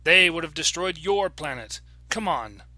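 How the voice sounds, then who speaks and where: Fierce woman adventurer, done by a male reader: vengeful, commanding, sharp-edged, lifted pitch, audiobook drama. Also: animated, unhurried, dry recording, heavily acted. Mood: demanding. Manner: commanding, forceful. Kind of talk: dramatic audiobook character reading.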